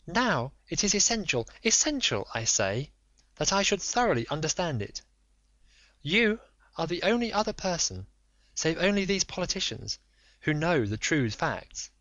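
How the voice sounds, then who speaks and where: A man's voice: on the high side, very animated, moderate pace, fairly bright tone, dry recording, voice pushed up. A man voicing an anxious noble Victorian lady who pleads in formal, earnest speech. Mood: pleading. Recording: dull lo-fi dry recording.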